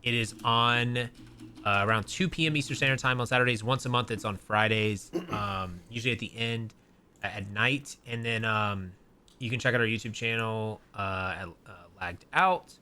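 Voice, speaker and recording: A man's voice: on the low side, fairly even intonation, normal speed, bright, forceful tone, clear, resonant tone. A young man hosting a casual podcast, rambling with lots of fillers and restarts. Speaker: podcast host. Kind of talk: casual chat.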